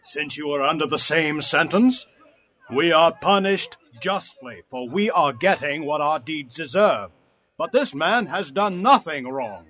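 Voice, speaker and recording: A man's voice: medium pitch, a little slow, fairly bright tone, natural voice. A man playing an intense bystander at a crucifixion in a dramatised scripture reading, emphatic and theatrical, over music. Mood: rebuking. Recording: music behind the voice.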